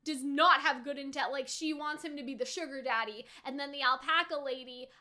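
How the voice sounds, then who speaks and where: A young woman with a very high, bright voice chats through an anime review. She rambles in an animated, casual way, often checking in with "right?" and leaning on "like". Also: fairly quick. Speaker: anime reaction YouTuber. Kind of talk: casual reaction and review chat.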